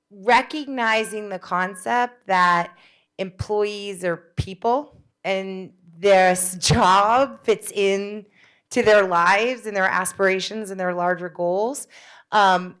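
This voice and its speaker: A woman's voice: medium pitch, fairly bright tone, smooth. A woman in a conference audience with a big voice, sharing a thoughtful takeaway on a handheld microphone. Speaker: audience member. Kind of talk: speaking up from the audience.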